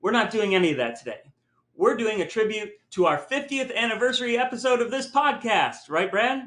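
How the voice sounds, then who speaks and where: A man's voice: sits high, big pitch swings, brisk, a bit bright. A middle-aged American man hosting a podcast in a casual, conversational style. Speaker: podcast host. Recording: roomy sound with music.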